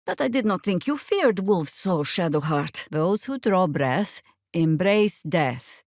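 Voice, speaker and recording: A middle-aged woman playing a seasoned, wry druid warrior in a fantasy video game, speaking in a measured, dry, formal way. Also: fairly low pitch, expressive, a little mellow, no room sound. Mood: dry, cautioning. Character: seasoned, wry female druid warrior, weary but resolute.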